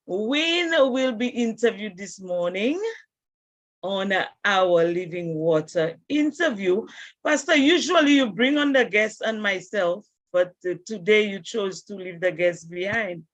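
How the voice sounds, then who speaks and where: A woman's voice: mid-range voice, expressive, unhurried, no echo. A woman hosting an online interview and greeting her guest and audience over a video call. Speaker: interview host. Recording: dull dry video-call audio.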